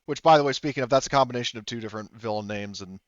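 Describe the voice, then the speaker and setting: Low smooth guy game mastering a tabletop rpg, off the cuff, dry kinda lo-fi mic. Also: racing, no echo. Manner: loose, relays characters' speech in third person. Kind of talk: improvised tabletop roleplay narration.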